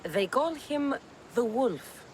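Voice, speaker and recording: A woman's voice: fairly high pitch, lively intonation, slow pace, a little mellow, a little hiss or hum. A woman playing a tough, dry young mercenary in a video game, terse and matter-of-fact. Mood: businesslike. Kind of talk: video game cutscene acting.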